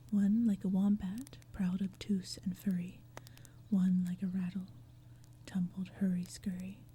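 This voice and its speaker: A woman reading poetry as ASMR in a breathy whisper, slowly and gently, with measured phrasing. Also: fairly low pitch. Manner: slow, gentle, measured storytelling. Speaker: ASMR reader. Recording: steady background ambience, crackling fire, forest sounds. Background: crackling fire and forest sounds.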